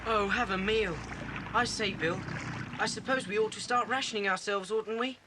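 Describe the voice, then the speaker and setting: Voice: mid-range voice, normal speed, close to an everyday voice. An actor playing an eager, sensible British schoolboy in a children's audio adventure drama. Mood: concerned. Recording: noisy old cassette story tape.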